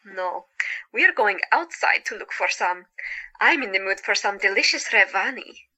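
Woman playing a bright, cheerful lady doctor. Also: mid-range voice, animated, moderate pace, low-quality recording. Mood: playful, eager. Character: joyous, caring female doctor in 1917.

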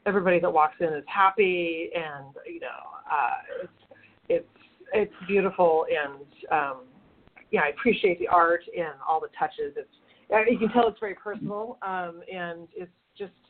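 A woman's voice: lowish voice, normal speed. A woman co-hosting a show, chatting casually with guests and offering praise with frequent fillers. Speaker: show co-host. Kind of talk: casual interview chat. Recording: phone-like narrow band.

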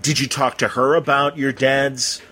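A man with a husky, mid-pitched voice conducts a casual interview. His questions are informal and halting, wandering a little before they land. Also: little pitch movement, pushed and bright.

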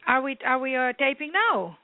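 A woman from Greece with a Greek accent, an author talking earnestly at length in a phone interview, with many pauses. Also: high-pitched, very animated, dry recording. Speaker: author guest. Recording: tinny phone line.

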